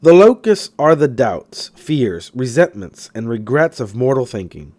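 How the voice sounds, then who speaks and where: A man reading a self-help audiobook in an expressive, emphatic scripted narration style. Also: mid-range voice, a little slow.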